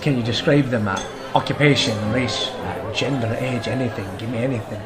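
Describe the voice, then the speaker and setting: A man's voice: mid-range voice, fairly quick, lightly acted. A man playing a plain-spoken dwarf adventuring cleric in a fantasy audio drama, asking probing, slightly hesitant questions. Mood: inquisitive. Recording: loud music and tavern crowd over voice. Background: loud music, tavern crowd.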